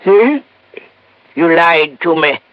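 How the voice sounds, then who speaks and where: A man's voice: fairly high pitch, expressive, slow pace, no echo. A man playing a sly, murderous swindler in a 1950s radio drama, drawing his words out hesitantly. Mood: alarmed. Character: sly male swindler and killer running an old folks' home. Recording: muffled vintage radio recording.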